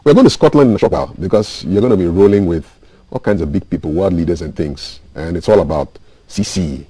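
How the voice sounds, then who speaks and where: A Ghanaian man hosting a radio interview, animated as he reads audience messages and asks questions. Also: sits low, fast talker, slightly rough, dry recording, poor audio. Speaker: radio show host.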